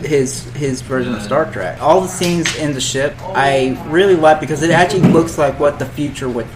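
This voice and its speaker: A man's voice: a little high, moderate pace, heavy background noise. A man hosting a casual group discussion in an informal, conversational way. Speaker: host of a group discussion show. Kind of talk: casual panel chat. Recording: background crowd chatter.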